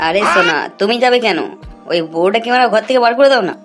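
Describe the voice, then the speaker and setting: A woman's voice: medium pitch, fast, close to an everyday voice. A woman voicing a sly, greedy male lover and swindler in an amateur cartoon, crude and taunting. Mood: scheming. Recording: music under the voice.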